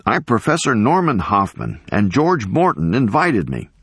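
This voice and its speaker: An indignant old professor, acted out by a male narrator, declaims with pompous emphasis. His pitch leaps up and down as he rants like a storybook crank. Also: huge pitch swings, moderate pace, slightly rough, dry recording, clean studio sound, full character voice. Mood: indignant, haughty. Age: older. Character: ranting, unkempt, indignant elderly male professor.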